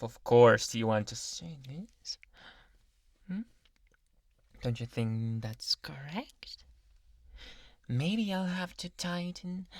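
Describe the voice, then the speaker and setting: A man voicing a possessive, obsessive yandere doctor in an anime ASMR boyfriend roleplay, speaking softly and coaxingly, often in whispers. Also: mid-range voice, creaky, no echo, studio quality, exaggerated voice. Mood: controlling, menacing. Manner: soft, intimate, coaxing, often dropping into whispers. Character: possessive, obsessive male yandere doctor, sweetly menacing lover. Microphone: close microphone.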